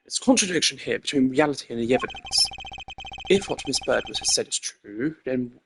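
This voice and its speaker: A performer voicing a composed, stern male prosecutor from a video game, speaking formally and deliberately with a cutting dryness. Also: mid-range voice, animated, background noise, close to an everyday voice. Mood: analytical.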